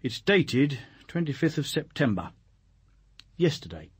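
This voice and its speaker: An actor playing a dogged chief inspector in a radio drama, polite but probing. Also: male voice, a little high, sing-song, unhurried, slightly rough, exaggerated voice. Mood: pressing. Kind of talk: British radio drama acting.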